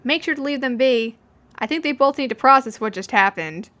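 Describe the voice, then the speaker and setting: A smirking male detective , put on by a woman, chirps along in a raised, lively voice. He teases in a sing-song way, a playful troublemaker from an audio fan story. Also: high voice, fairly quick, pitched above the performer's own voice. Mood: teasing.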